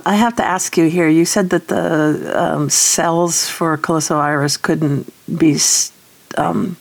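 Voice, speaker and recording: A woman's voice: fairly low pitch, creaky. A woman hosting an interview, putting questions to her guest in a conversational style. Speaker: interviewer, host.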